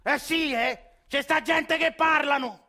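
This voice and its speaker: Actor playing a deaf, cranky Roman nonno of ninety, high, bright and shouty. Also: male voice, slow pace, heavily acted. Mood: insistent. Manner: shouting, impatient, hard of hearing. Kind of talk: one-man theatre monologue.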